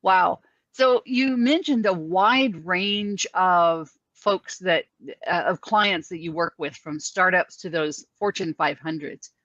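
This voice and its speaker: A woman's voice: on the low side, slow pace, fairly bright tone, no room sound, highs cut off. A woman hosting a conversational interview, reacting with short affirming interjections and asking follow-up questions. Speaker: host, interviewer.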